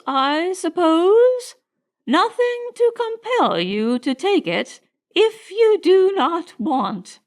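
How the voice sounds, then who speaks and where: A haughty elderly society lady, acted out by a woman reading a storybook, speaks in a high voice at a very slow, drawn-out pace. She is severe and condescending, and her pitch swings emphatically. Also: exaggerated voice.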